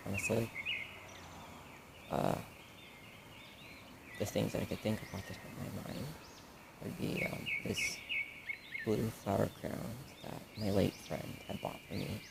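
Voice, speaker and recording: A man's voice: on the low side, vocal fry, heavily acted. A young man playing a supportive best friend in an audio roleplay, talking casually and hesitantly in rambling, trailing phrases, on a noisy recording. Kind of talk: audio roleplay addressed to the listener, casual improvised chat.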